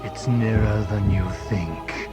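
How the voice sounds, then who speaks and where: A man's voice: lowish voice, takes it slowly. A man playing an obsessive, evil embodiment of darkness in an audio drama, speaking with menace. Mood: slow menace. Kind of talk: audio drama villain acting. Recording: music behind the voice.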